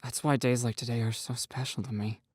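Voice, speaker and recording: A man's voice: medium pitch, level tone, brisk, dry recording, studio quality, close to an everyday voice. A man voicing a guarded, troubled teenage boy who speaks in a subdued, reluctant way in a storybook reading.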